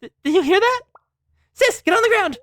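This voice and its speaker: Woman putting on an excitable, high-pitched schoolgirl. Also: fast, dry recording, clean studio sound. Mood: alarmed. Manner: high, exclamatory outbursts. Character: excitable, desperate schoolgirl game-maker, loyal and emotional.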